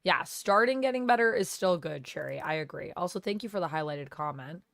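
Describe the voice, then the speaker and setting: A woman streamer under the weather with a cold, talking casually with a gravelly, smoky voice as she chats with viewers and thanks them by name. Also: fairly low pitch, expressive, brisk, a bit bright, no echo, clean studio sound. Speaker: live streamer. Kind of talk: casual live-stream chat, reading viewer comments. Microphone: gaming headset.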